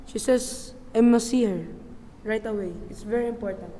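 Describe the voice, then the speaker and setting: A woman's voice: fairly high pitch, normal speed, mellow, natural voice. A woman voicing an earnest, pleading young Filipino son in a radio play, with a Filipino accent. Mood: earnest protest. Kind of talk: amateur English radio play acting. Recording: reverberant hall-like echo.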